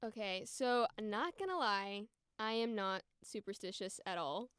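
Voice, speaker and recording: Podcast guest, female, about 20, American. Crisp, dry close sound, chatty and informal delivery. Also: mid-range voice, animated, unhurried, a bit bright, dry recording. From United States. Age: around 20. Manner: informal, frequent "like" and "you know". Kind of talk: casual conversational chat and storytelling.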